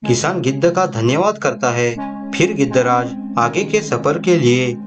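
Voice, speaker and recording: A man narrates a scripted cartoon fable as storyteller. His pitch is middling, and music plays clearly behind the voice. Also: lively intonation, a little slow.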